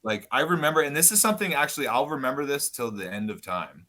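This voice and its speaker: A man guest on a podcast, talking loud and casual, swearing often and rambling as he goes. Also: medium pitch, expressive, quick pace. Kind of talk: casual chat.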